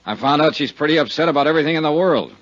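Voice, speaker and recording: A man's voice: a little high, very fast, fairly bright tone, close to an everyday voice. An actor playing a wry, laconic male insurance investigator in a 1950s radio drama, speaking in a dry manner. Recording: muffled 1950s radio broadcast.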